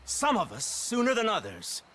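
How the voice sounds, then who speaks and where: A sharp, smug, menacing lawman. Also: high voice, huge pitch swings, a little hiss or hum, exaggerated voice. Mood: cold menace. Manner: formal, pompous, deliberate emphasis. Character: smug, menacing, self-righteous Pinkerton detective agent. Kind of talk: cinematic Western video game acting.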